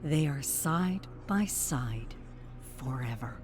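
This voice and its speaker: Male narrator for game lore, mid-pitched, very unhurried, grave dramatic delivery, soft music underneath. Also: animated. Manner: measured, solemn, dramatic. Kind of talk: dramatic scripted story narration.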